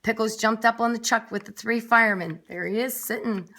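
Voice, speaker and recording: A woman reads a picture book aloud for young children. Her voice is bright and fairly high. She is expressive, leaning on dramatic words and adding small asides as she goes. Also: brisk. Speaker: nursery school story reader. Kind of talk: children's storybook read-aloud.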